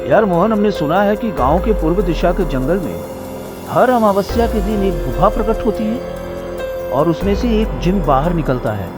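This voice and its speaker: An excitable young village man in a cartoon, voiced by a man, pitched a bit high and always pleading with his friend. He scares easily. Music plays behind him. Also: moderate pace. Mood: eager. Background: background music.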